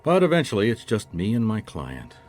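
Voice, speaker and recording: Dry wry gumshoe telling his case, old-style radio mystery, lowish voice with lively swings. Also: fairly quick, faint music behind. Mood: wry narration. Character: wry, dogged male private detective. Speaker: private detective, narrator. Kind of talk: detective radio drama, first-person narration.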